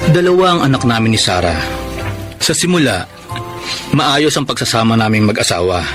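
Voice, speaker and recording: A man's voice: mid-range voice, very animated, moderate pace, over loud music, natural voice. A man playing a proud, stubborn jobless husband in a radio drama, defensive and emotional. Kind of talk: radio drama acting and narration.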